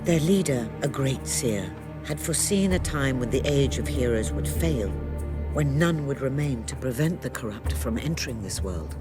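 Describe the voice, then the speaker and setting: A grave ancient seer. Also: female voice, very deep voice, animated, over loud music. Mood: solemn. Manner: grave, measured storytelling. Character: ancient female seer, solemn and guiding. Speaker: narrator. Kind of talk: game cutscene narration. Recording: loud game score behind.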